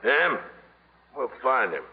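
An actor playing a sardonic gangster boss in a 1950s radio comedy, making unhurried threats in a mock-friendly manner. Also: male voice, mid-range voice, sing-song. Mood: menacing. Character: menacing, coolly sardonic male gangster boss. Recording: muffled old radio broadcast.

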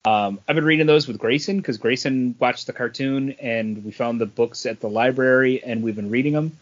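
Mid-pitched man, slightly muffled podcast sound. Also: moderate pace. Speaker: podcast co-host reviewing comics. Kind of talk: casual conversational review chat.

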